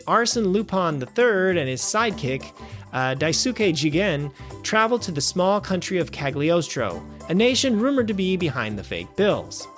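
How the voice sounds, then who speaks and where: A man hosting a film review show, reading a scripted review with wry, animated asides. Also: high voice. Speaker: film review podcast host.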